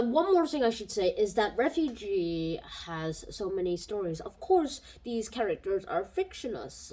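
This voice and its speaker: Chatty woman with a lively, rambling voice. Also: mid-range voice, breathy edge, low-quality recording. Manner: rambling, thinks aloud with fillers and restarts. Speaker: book reviewer. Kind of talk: casual spoken book review. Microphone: home microphone.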